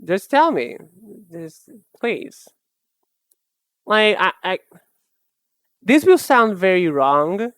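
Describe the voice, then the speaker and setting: A young woman streamer chatting casually while she draws, rambling and self-mocking in a soft, low-key way. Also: big pitch swings, drawn-out words, long pauses, no echo. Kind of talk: casual chat while drawing live.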